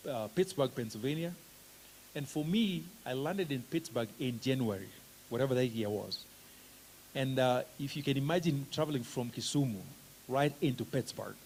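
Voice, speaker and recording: A middle-aged Kenyan man with a Kenyan accent giving a relaxed, joking conference talk as a professor of special education, with plenty of "uh" fillers. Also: medium pitch, a little hiss or hum, low-quality recording. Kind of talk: conference talk, self-introduction. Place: conference hall.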